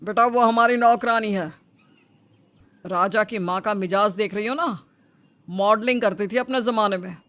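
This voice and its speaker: A man's voice: high-pitched, moderate pace, long pauses, fairly bright tone. An actor playing a stern, domineering father-in-law in a family story, lecturing and reproachful. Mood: explaining.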